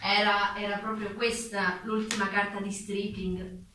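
A woman's voice: medium pitch, flat delivery, a little slow, sharp tone. A woman playing the narrator of a historical courtroom radio drama, theatrical and declamatory. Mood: narrating, pointed. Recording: very echoey distant room, faint noise.

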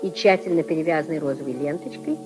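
A woman giving expressive, deliberate literary narration for a 1950s radio drama. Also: deep voice, over music, poor audio.

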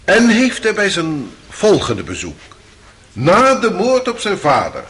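An actor playing a formal, dry courtroom examiner in a radio play, measured and questioning. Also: male voice, high voice, huge pitch swings, fairly bright tone, heavily acted. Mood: formal, probing. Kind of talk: dramatic radio play acting.

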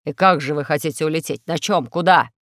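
A woman's voice: sits low, brisk, fairly bright tone, no echo, studio quality, pitched below the performer's own voice. A woman voicing an indignant, demanding man with a blustering, insistent manner in a children's story. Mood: angry, demanding.

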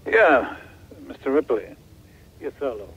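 An actor playing a weary, anxious man relaying kidnappers' demands over the telephone in a radio play, halting and hesitant. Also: mid-range voice, lively intonation, a bit bright, breathy edge, heavily acted. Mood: tired, hesitant. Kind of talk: German radio play acting. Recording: dull, noisy telephone line.

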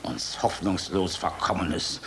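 An actor playing a weary, bitter German general in a radio play, speaking in an emphatic, rhetorical, theatrical way. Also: male voice, low voice, takes it slowly, noisy background, full character voice. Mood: bitter, scornful. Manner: emphatic, rhetorical, swinging from gruff to impassioned. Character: weary, bitter German air force general, defiant and tormented. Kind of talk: theatrical radio drama acting.

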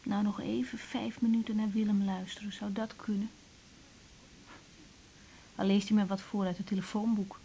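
An actress playing a tired, caring young woman doctor in a naturalistic radio drama, speaking haltingly and sometimes murmuring. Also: medium pitch, dark tone, natural voice, rough recording. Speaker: general practitioner in a radio drama. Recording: clear tape hiss on radio recording.

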